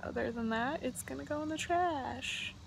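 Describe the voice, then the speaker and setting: A young woman talks straight into her phone at arm's length, chatty and candid, like she's thinking out loud. Her voice sits a little high with a gravelly, fry-tinged edge. Loud wind batters the phone mic, and water laps somewhere behind her. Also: normal speed. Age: 20s. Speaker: vlogger. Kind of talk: casual selfie vlog chat. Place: outdoors on a windy lakeside pier.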